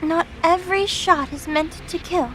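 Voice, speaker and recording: A woman playing a composed, gently defiant young-sounding mortal woman in an audio drama. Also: very high voice, moderate pace, a little hiss or hum, rough recording. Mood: soft, knowing.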